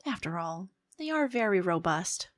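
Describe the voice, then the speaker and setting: A woman's voice: on the low side, sing-song, normal speed. A woman playing an alien head scientist in a scripted audio roleplay, briefing her commander in a formal, earnest, analytical and emphatic way. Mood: thoughtful. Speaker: voice performer. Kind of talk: scripted fictional ASMR roleplay monologue.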